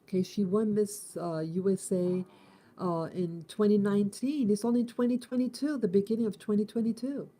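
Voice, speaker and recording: Very dark-voiced woman in her fifties musing. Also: mid-range voice, steady tone. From United States. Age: 50s. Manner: halting, repeats and restarts, musing. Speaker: host. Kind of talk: casual spoken morning reflection.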